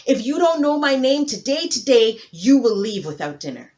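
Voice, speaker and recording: A woman voicing a proud male hippopotamus king in a folktale, speaking in loud, pompous proclamations. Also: on the high side, expressive, moderate pace, pitched above the performer's own voice, lightly acted. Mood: imperious. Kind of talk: theatrical folktale storytelling.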